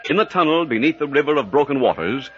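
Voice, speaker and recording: A man's voice: mid-range voice, big pitch swings, quick pace. A man announcing a 1940s radio serial in dramatic scripted style, on a muffled old recording. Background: music.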